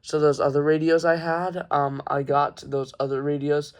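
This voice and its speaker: A young man giving a casual, halting, informal product showcase as a hobbyist reviewer. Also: on the high side, little pitch movement. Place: carpeted room at home.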